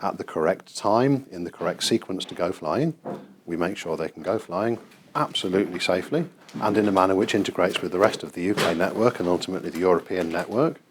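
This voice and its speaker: A middle-aged man with a low voice and a British accent explains things as a tour guide. He speaks in a measured, precise way, with clear room noise behind him in a working indoor space. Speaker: air traffic control manager and tour guide. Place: large airport control tower room, indoors. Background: control room ambience.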